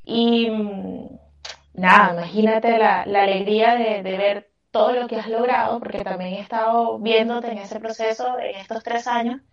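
A woman's voice: a little high, steady tone, normal speed. A Venezuelan woman answering interview questions conversationally, with an effusive, emphatic way of talking. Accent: Venezuelan Spanish. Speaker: podcast guest, craft business owner. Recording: echoey, distant, muffled microphone.

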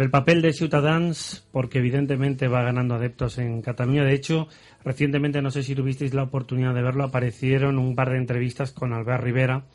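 A man from Spain hosting a radio political panel, talking casually and calling on panelists by name. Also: mid-range voice. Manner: conversational, addresses panelists by name, teasing asides. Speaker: radio talk show host. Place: radio studio.

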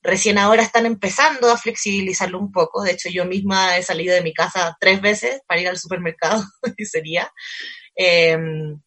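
Husky woman, low and unhurried. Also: steady tone, a bit bright. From Chile. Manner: thoughtful, many hesitations and fillers. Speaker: guest. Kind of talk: casual conversation.

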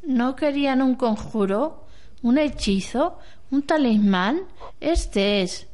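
Woman playing a slow, wise old grandmother. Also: high voice, animated, darkish tone. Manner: slow, measured, instructing. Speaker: amateur radio drama actor. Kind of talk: amateur radio play acting.

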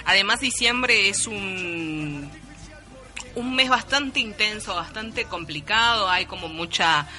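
A Uruguayan woman with a Rioplatense accent co-hosts a casual radio chat, teasing and joking. Her voice is very bright and sharp at a middle pitch. A faint music bed sits behind her. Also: expressive, slow. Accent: Rioplatense Spanish. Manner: teasing, playful interviewer. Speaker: radio co-host. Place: radio studio.

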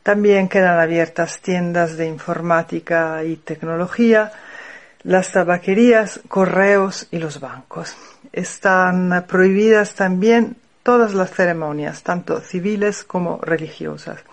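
This is a woman with an Italian accent speaking a second language. Her delivery is careful and measured, and she reads slowly from her own notes. She sounds like a guest giving a testimony on a radio show. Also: lowish voice. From Italy. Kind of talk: partly read prepared statement. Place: home in Rome.

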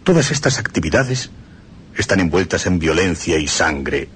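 A man reading historical texts aloud on a radio program in a grave, deliberate recitation. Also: sits low, very animated, fairly bright tone, a little hiss or hum. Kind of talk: solemn scripted reading.

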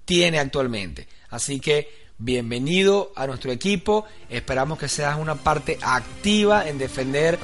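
A man's voice: on the high side, expressive, a little slow, few pauses, a bit bright, soft background music. A man narrating a scripted sales training audio in an earnest, instructive way. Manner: earnest, instructive, building to an eager introduction. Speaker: training host.

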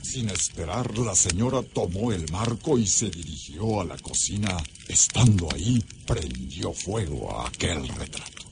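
A man's voice: low voice, expressive, a little slow, creaky, background noise, exaggerated voice. A man with a Mexican accent narrating a scripted horror radio drama in a solemn, suspenseful manner. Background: loud dramatic music.

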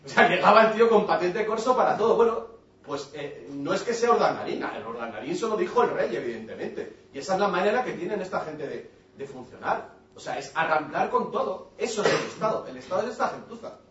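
Audience man with a slightly high voice, halting and repetitive, rising to heated; distant, roomy, dull hall recording. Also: animated, a little hiss or hum. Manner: halting with repeated words, grows heated and forceful. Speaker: audience member. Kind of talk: question-time comment at a public talk.

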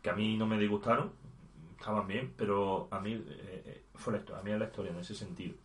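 A man's voice: lowish voice, steady tone, a little fast, reverberant, slight background noise. A man chatting casually about video games as a podcast co-host, with laughing asides and stammered restarts. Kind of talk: casual gaming chat.

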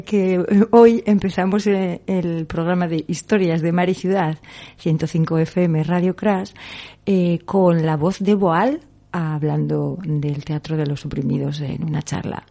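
A woman's voice: on the low side, measured pace, creaky, mellow. A woman hosting a radio show, chatting casually and joking with her guest. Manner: joking, laughs easily. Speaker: radio host.

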